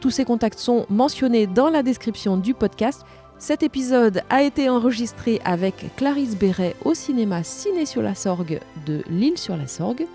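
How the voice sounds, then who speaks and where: A woman podcast host explaining and presenting in a measured way. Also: fairly high pitch, lively intonation, mellow, soft background music.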